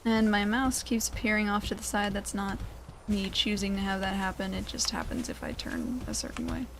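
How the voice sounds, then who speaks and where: A woman's voice: medium pitch, animated, normal speed, noisy background. A woman giving casual, playful video game commentary with goofy word choices. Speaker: video game let's player. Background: game music.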